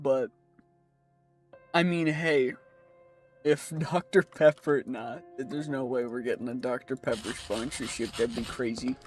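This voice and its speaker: Congested young man streaming a game. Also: mid-range voice. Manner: easygoing, thinking aloud, self-reassuring. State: congested from a cold. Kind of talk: casual gameplay commentary.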